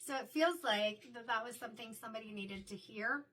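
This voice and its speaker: A middle-aged woman speaks in a gentle, reflective, chatty way, a little raspy from being sick. Her voice sits slightly high and sounds bright and forward. She is picked up from some distance, with a faint hum of room noise behind her. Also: brisk. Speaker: intuitive card reader and medium. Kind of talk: casual spoken tarot reading intro.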